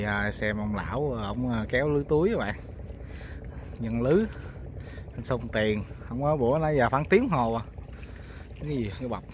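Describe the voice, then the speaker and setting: A man with a Mekong Delta accent chatting casually and colloquially to viewers as a fishing vlogger, often exclaiming. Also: medium pitch. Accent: Southern Vietnamese, Mekong Delta. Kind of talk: casual outdoor vlog chat. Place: outdoors on a small boat on a river. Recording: clear outdoor boat noise.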